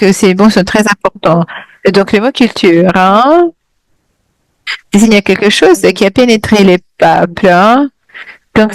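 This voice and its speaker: A woman's voice: medium pitch, dry recording, poor audio. A woman interpreting a lecture live over a video call, hesitant and full of fillers and restarts.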